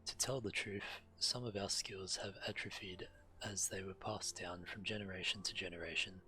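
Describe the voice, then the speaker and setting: A man's voice: sits low, fairly even intonation, moderate pace, pushed and bright, rough recording. A man streaming a video game, commenting on play and reading quest text aloud.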